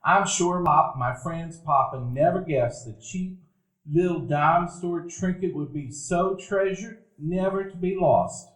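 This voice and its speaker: With the echo of a live stage around him, an actor plays a nostalgic man remembering his boyhood in a radio play. His voice sits a little high for a man, and he narrates in a measured, literary storytelling style. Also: close to an everyday voice. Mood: mournful, reflective. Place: event hall before live dinner-theater audience. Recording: echoey live theater stage.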